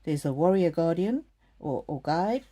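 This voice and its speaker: A soft-spoken middle-aged woman from South Africa hosts a live oracle card reading. Her voice sits low and mellow, and she talks in a gentle, soothing way straight to each viewer. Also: animated, a little slow. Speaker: card reader.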